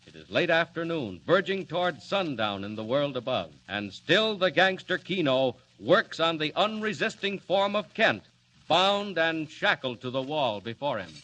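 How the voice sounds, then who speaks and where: A man's voice: on the high side, big pitch swings, normal speed, sharp tone. A man narrating a radio serial in a dramatic 1940s style. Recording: dull, hissy old radio recording.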